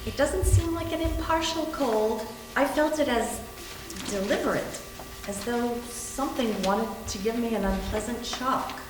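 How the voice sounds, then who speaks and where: A nervous, earnest woman in a haunted-house stage reading acted by a woman. Her voice sits high and comes from a distance in a very echoey room. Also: a little slow, lightly acted. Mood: uneasy, earnest. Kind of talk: amateur readers theater performance. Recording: echoey library room, far from mic.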